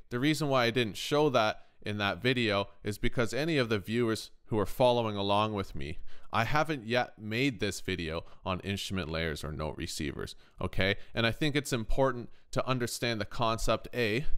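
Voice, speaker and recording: Man, lowish pitch, smooth clean tone, casual software tutorial, conversational, checks in often, close mic. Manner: conversational, checks in with "right?" and "okay?". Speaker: tutorial host, music production YouTuber.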